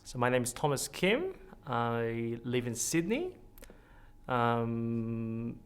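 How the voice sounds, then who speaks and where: A man's voice: mid-range voice, big pitch swings, slow pace, clean tone. A man in his thirties speaking earnestly and thinking aloud in a documentary interview as a citizen juror. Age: 30s.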